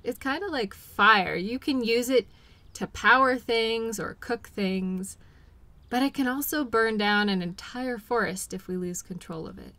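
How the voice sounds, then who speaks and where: A woman playing a caring, patient girlfriend in an intimate role-play audio, speaking gently and reassuringly to comfort her partner. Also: a little high, measured pace, no room sound. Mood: calm, thoughtful.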